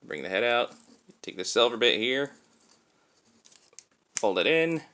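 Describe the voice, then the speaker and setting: A man's voice: mid-range voice, big pitch swings, measured pace, sharp tone. A man talking informally through a hands-on toy review, explaining things step by step. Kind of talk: casual hands-on product review.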